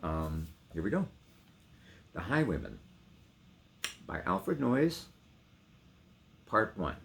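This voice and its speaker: A man's voice: deep voice, wildly swooping pitch, slow, gravelly, a little hiss or hum. A middle-aged man giving a casual personal introduction to a reading, with emotive, theatrical emphasis. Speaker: poetry reader and trained actor.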